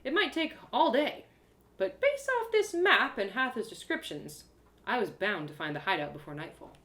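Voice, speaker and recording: A woman voicing a plucky young girl adventurer who narrates her own journey in an expressive, colloquial storybook style. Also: on the high side, echoey room, voice pushed up. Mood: determined. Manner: expressive, colloquial, with exclamations and dramatic pauses. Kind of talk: animated first-person storybook narration, live one-woman audio drama.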